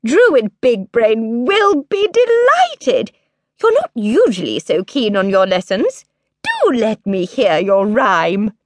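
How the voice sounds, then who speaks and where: A woman's voice: sits high, huge pitch swings, unhurried, no echo, pitched above the performer's own voice, full character voice. Storybook queen mum: woman voicing a prim, scolding mother, firm and raised, dry close sound. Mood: pleased. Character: stern, proper mother queen.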